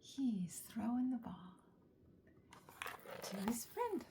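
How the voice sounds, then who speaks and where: A woman reading a children's picture book aloud, expressively, with drawn-out words and chatty asides and questions to young listeners. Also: on the high side, very animated, warm tone, slight background noise.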